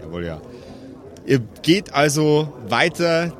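A man's voice: a little high, very animated, takes it slowly. A man acting as game master, improvising the narration of a tabletop role-playing game.

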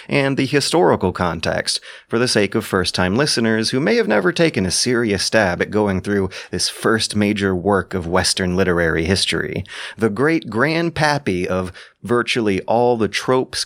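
A man's voice: fairly low pitch, fairly bright tone. A man reading scripted narration as narrator and commentator.

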